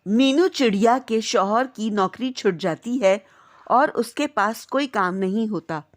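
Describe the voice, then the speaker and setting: A woman's voice: fairly high pitch, measured pace. A woman narrating a scripted children's cartoon story.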